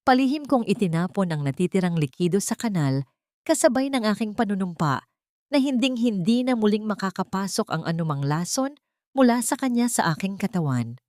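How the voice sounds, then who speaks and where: A woman narrating a dramatic first-person story in a measured, solemn way. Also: fairly low pitch, a little mellow, no echo, clean studio sound.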